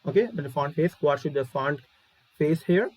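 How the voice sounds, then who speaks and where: A man's voice: a little high, moderate pace, darkish tone, poor audio. A man with a Bangladeshi accent giving an informal coding tutorial, thinking aloud step by step and repeating his phrases. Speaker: programming tutorial instructor. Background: keyboard typing.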